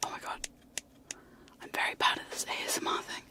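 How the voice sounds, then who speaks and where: A young woman whispering close to sensitive microphones in a casual, giggly ASMR chat with trigger sounds, often poking fun at herself. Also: a little fast. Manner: soft whisper, giggly and self-deprecating. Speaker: ASMR streamer.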